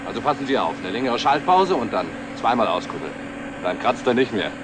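An actor playing a wry, easygoing young truck driver in a 1960s German TV drama. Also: male voice, mid-range voice, expressive, fairly quick, background noise, lightly acted. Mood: laconic. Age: young adult. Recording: old 1960s TV soundtrack with vehicle sounds.

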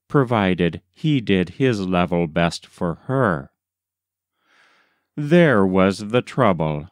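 A man reading a short story aloud as scripted literary narration, in a measured, deliberate storytelling manner. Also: mid-range voice, clear, resonant tone, no echo, clean studio sound. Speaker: audiobook reader.

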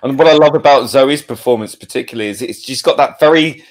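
A man's voice: medium pitch, fast. A man speaking casually as a guest in an interview conversation.

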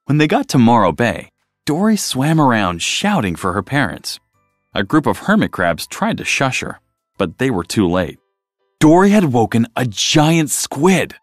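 Very animated male storybook narrator. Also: mid-range voice, a little slow, no room sound, clean studio sound, faint music behind. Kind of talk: expressive children's read-aloud narration.

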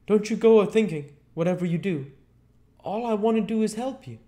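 A man's voice: high-pitched, brisk, warm tone, voice pushed up, close to an everyday voice. A man voicing a flabbergasted, easily frightened tramp with a blustering, slangy rustic manner, from a storybook. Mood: cringing, eager. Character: flabbergasted, frightened, cringing tramp Mister Marvel.

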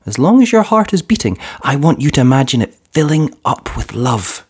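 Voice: medium pitch, sing-song, fairly quick, darkish tone, breathy edge. A storybook narrator voicing an earnest, kind five-year-old superhero girl, sincere and eager. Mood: earnest, comforting.

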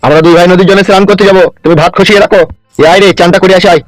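A man's voice: high voice, steady tone, rapid-fire, dry recording, soft background music, close to an everyday voice. A man voicing a woeful village husband in a comedy cartoon, speaking colloquially in a rural West Bengal accent. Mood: conversational. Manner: lamenting, colloquial.

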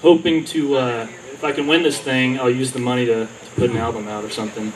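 A man's voice: medium pitch, steady tone, a little fast. A man, a songwriter contestant, giving modest and hesitant answers on a festival stage. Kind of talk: onstage interview. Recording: distant mic with faint outdoor noise.

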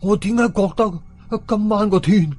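A man's voice: high voice, a little slow, warm tone, voice pushed up. A man voicing a frightened middle-aged villager with an anxious, faltering way of talking in a horror audio story. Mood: scared.

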